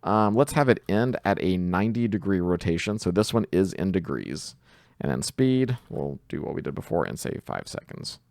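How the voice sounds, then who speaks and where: A man's voice: low-pitched, sing-song, moderate pace, creaky, a little mellow, dry recording, clean studio sound. A man giving a casual coding tutorial, thinking aloud informally as he works through it. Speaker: tutorial presenter.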